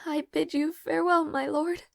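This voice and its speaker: A woman playing a devoted, lovelorn young maid in an intimate fantasy audio roleplay, speaking formally and haltingly, tearful and earnest, with soft breathy moments. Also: high voice, level tone, no echo, clean studio sound. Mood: sorrowful farewell.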